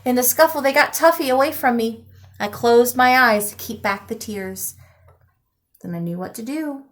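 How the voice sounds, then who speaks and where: A woman reading a picture book aloud expressively, with deliberate dramatic emphasis and pauses. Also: a little high.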